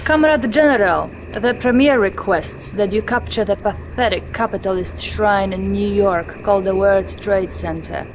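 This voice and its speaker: An actress playing a dutiful Soviet military intelligence officer, giving formal briefings in a video game. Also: female voice, medium pitch, moderate pace, few pauses, natural voice. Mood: formal, businesslike. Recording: clearly noisy game sound behind.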